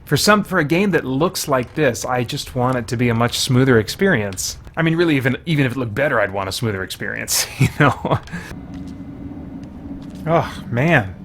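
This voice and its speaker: A man's voice: medium pitch, lively intonation, normal speed, fairly bright tone, slight background noise. A man giving casual video game commentary as a reviewer, thinking aloud in informal language with mild exclamations.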